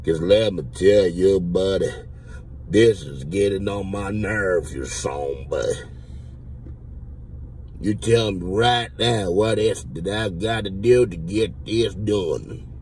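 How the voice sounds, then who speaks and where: A man's voice: low-pitched, slow, darkish tone, far from the mic, slight background noise. A man in his thirties, seated in a car and talking into a phone, voicing a blustering redneck who claims to be a veteran, with a put-on Southern drawl and rambling, absurd talk. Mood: indignant. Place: inside a parked car. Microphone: phone held at the mouth.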